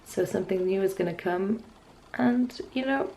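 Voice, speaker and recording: A woman's voice: mid-range voice, moderate pace, warm tone, poor audio. A woman, chatting casually and hesitantly to camera in a wry, musing way. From Newcastle area, north-east England. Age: 20s. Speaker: vlogger. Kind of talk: casual, rambling chat to camera. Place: small furnished bedroom at home, indoors.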